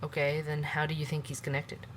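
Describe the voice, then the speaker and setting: A man playing a calm, tactful investigator. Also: fairly high pitch, a little hiss or hum, natural voice, rough recording. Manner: measured, gently probing questions.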